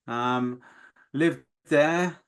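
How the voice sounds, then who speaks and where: A man from the South Wales valleys, a Welsh speaker first, talking openly and reflectively as an interview guest, with many pauses and restarts. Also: mid-range voice, clean tone. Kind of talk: candid, emotional interview.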